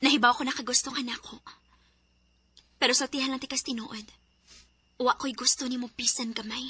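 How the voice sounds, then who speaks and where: A woman playing an earnest, frank high school girl in a radio drama, with a slightly husky voice and a Cebuano Filipino accent. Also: steady tone, brisk, sharp tone, heavily acted. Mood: frank, firm.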